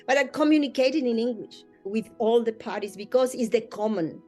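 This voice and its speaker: A woman's voice: fairly high pitch, fairly quick, mellow, faint music behind. A woman whose first language is Spanish, a mediator answering interview questions earnestly, with slightly non-native phrasing and frequent light laughter. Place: Australia.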